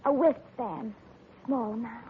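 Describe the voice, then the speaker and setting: An actress playing a devoted, soft-hearted young female secretary in a 1940s radio drama, speaking in a gushing, tender way. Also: fairly high pitch, a little slow, natural voice. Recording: muffled old radio broadcast with hiss.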